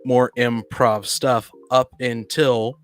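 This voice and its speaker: A man speaking haltingly as he discusses tabletop games on a podcast, often repeating and rephrasing himself. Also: mid-range voice, level tone, faint music behind. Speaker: podcast panelist.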